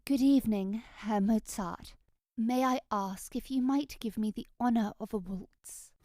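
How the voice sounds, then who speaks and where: A woman's voice: a little high, unhurried, dark tone, no room sound, studio quality, lightly acted. A woman playing an eager, haughty young society lady in an audio drama, with prim, old-fashioned theatrical diction. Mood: polite, eager.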